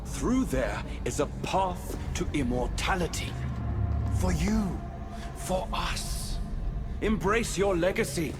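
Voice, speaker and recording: An actor playing a scheming, menacing immortal male being in a video game cutscene, speaking slowly and temptingly. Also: high voice. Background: game score music.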